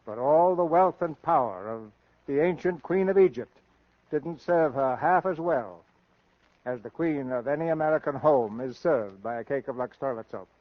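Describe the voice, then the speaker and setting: Male radio theater host: slightly high voice, formal scripted presentation, dry sound on a dull vintage broadcast recording. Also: moderate pace, dry recording.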